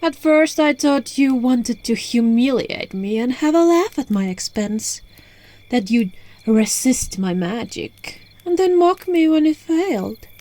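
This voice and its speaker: A woman's voice: fairly high pitch, dark tone. A woman voicing an alluring, playful witch in an ASMR roleplay, teasing and coaxing the listener, recorded on a phone. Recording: phone recording with music and night crickets.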